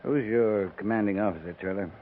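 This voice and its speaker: A tinny, hissy vintage radio recording carries an actor playing a frontier army captain. He is an American man with a lowish voice. He speaks in short, firm, steady commands. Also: fairly quick, natural voice. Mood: firm, sober. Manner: terse, firm, laconic. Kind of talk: 1950s radio drama acting. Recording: tinny, band-limited, noisy 1950s radio recording.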